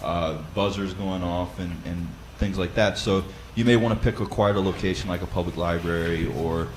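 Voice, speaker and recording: A man with a loud voice who leads a Bible study, explaining practical advice in a conversational way with frequent fillers and restarts. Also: low voice, steady tone, moderate pace, slight background noise, rough recording. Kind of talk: instructional talk.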